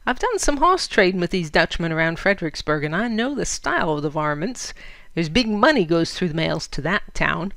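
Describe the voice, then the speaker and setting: A woman's voice: lowish voice, big pitch swings, fairly quick, creaky, fairly bright tone, no room sound, exaggerated voice. A woman voicing a scheming male outlaw lieutenant who talks in a folksy, persuasive way. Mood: shrewd, persuasive.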